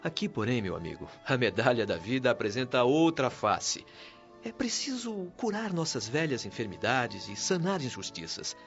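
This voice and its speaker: A man's voice: medium pitch, sing-song, moderate pace. A Brazilian man playing a wise, patient spirit guide in a radio drama, speaking in a formal, earnest, didactic way. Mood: instructive. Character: wise, patient male spirit mentor of a heavenly colony. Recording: dull lo-fi audio with music underneath.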